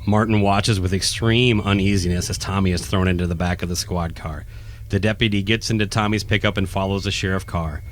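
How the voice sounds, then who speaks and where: A man's voice: deep voice, steady tone, fairly quick. A man reading scripted narration for a horror radio play. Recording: faint music behind the voice.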